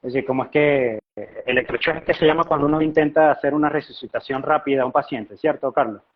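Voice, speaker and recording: A man's voice: medium pitch, fast. A man with a Venezuelan accent giving conversational economic commentary as a guest analyst, talkative and explanatory, often posing rhetorical questions. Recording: dull, lo-fi live stream audio.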